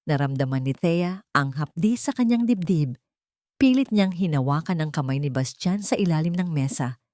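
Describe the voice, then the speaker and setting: A woman's voice: low-pitched, expressive, moderate pace, a little mellow, no echo, studio quality. A woman reading a scripted romantic drama as a storyteller. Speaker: story narrator.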